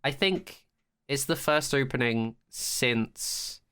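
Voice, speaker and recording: A podcast host chatting casually about a TV show in an animated, sweary way, often acting out quotes and sounds. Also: medium pitch, takes it slowly, smooth. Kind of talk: casual chat, fan review of a TV episode.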